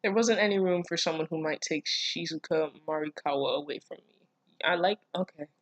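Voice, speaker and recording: Sarcastic low-pitched woman reacting. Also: a little fast, dry recording. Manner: sarcastic, incredulous, profane, interjecting. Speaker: host reading fan fiction with commentary. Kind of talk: casual reaction read-aloud.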